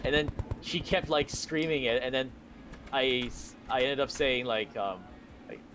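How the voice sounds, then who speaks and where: A man's voice: medium pitch, a little slow, a bit bright, a little hiss or hum. A young man chatting casually while playing a game with a friend, telling long rambling stories and laughing often. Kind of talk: casual gaming chat. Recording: game music under voice. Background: game music.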